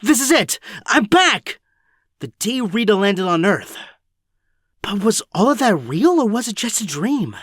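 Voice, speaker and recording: A man's voice: high voice, very animated, unhurried, a bit bright, slightly rough, dry recording, clean studio sound, exaggerated voice. A young man playing an anxious, earnest teenage boy hero in an audio drama, urgent and a little breathless. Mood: bewildered.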